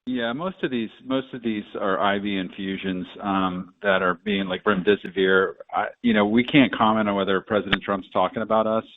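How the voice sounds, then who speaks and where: A man's voice: lowish voice, fairly even intonation, moderate pace, hardly any pauses. A man answering off the cuff as a company medical officer on a conference call. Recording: narrow phone line.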